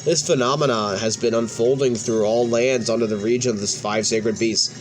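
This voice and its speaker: A man's voice: medium pitch, steady tone, moderate pace, rough recording. A man hosting a let's play, reading game dialogue aloud with casual commentary and stumbling over words now and then. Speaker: host of a let's play channel. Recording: music playing behind the voice.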